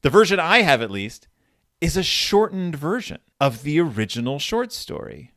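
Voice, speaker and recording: A man's voice: fairly high pitch, big pitch swings, moderate pace, a bit bright, dry recording, clean studio sound. A man hosting a podcast, talking through books in a casual, rambling, self-interrupting way with an explainer's enthusiasm. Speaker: podcast host. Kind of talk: casual book discussion.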